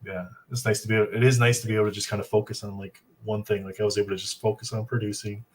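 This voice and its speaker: A man's voice: on the low side, flat delivery. A man hosting a livestream in an upbeat, casual way, reading chat aloud and keeping the talk moving. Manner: upbeat, reads chat aloud, quick fillers. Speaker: stream host and producer.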